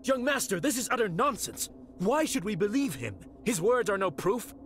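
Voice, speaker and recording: An actor playing a loyal, stubborn knight retainer in a video game, earnest and emphatic. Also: male voice, sits high, very animated, normal speed, slight background noise, exaggerated voice. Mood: indignant. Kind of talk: video game character acting. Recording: loud music behind.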